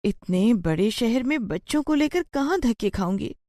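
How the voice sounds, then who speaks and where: A woman's voice: fairly high pitch, lively intonation, normal speed, warm tone, a little hiss or hum, voice pushed up, close to an everyday voice. A woman voicing a poor, troubled village mother in a moral story, pleading and sorrowful. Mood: worried. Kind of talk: acted dialogue in a Hindi moral story.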